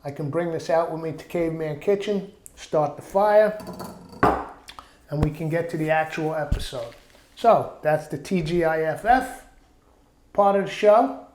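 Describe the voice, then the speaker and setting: A man's voice: fairly high pitch, measured pace, darkish tone, echoey room, slight background noise. A man hosting a casual home cooking vlog, chatting and rambling through stories. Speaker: cooking show host. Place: outdoor backyard kitchen.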